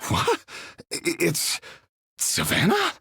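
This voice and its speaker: Eager food judge, low voice that swoops everywhere, kinda hesitant, game scene. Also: female voice, huge pitch swings, slow, creaky, a bit bright, full character voice. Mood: surprised. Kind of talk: video game dialogue acting. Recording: studio dialogue, faint game music.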